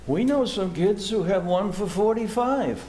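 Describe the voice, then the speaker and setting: Sullen, superior young son, radio comedy, male amateur, very high voice, roomy recording. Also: expressive, a little slow, warm tone. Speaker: amateur actor. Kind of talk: old-time radio comedy acting. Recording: echoey room, faint noise.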